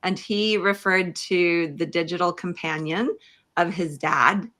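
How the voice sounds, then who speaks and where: A woman podcast host asking questions casually, in a tentative, pausing way. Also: on the low side, a bit bright. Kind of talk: casual conversational interviewing.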